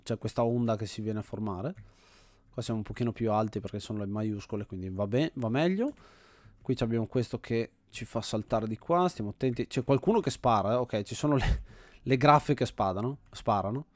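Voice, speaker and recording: A man's voice: fairly low pitch, fairly quick, no echo. Italian man commenting casually in a dry room. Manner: thinks aloud, often repeating himself. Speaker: host of an indie game video series.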